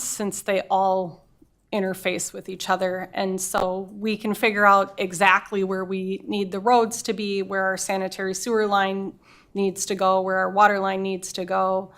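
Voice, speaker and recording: A woman with an even, flat-toned voice who speaks at a city council meeting. She explains the steps of a project methodically to the councilmembers. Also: medium pitch. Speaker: city staff member on development. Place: council chamber.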